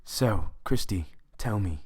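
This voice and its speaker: A man's voice: lowish voice, animated, slow, slightly rough, exaggerated voice. An actor playing a teasing, prying man on a dream-heist team in a fan audio drama. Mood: curious.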